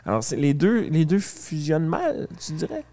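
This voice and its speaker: A woman's voice: low voice, wildly swooping pitch, normal speed, mellow. A woman hosting a backstage interview, asking chatty questions. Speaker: interviewer, host.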